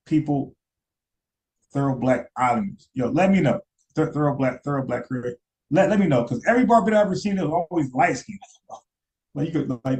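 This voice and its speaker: A man's voice: mid-range voice, a little mellow, poor audio. Man, casual streamer commentary, rambling, repeats himself, questions the chat, mid-range voice. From United States.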